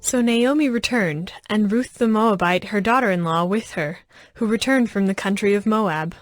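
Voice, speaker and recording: A woman narrating scripture as a scripted audio story, with measured, clear phrasing. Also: medium pitch. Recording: background music under the voice.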